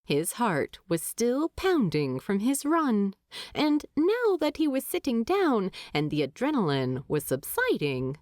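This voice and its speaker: A woman reading scripted story narration as an audiobook narrator, expressive and deliberate. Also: fairly high pitch, dry recording, clean studio sound.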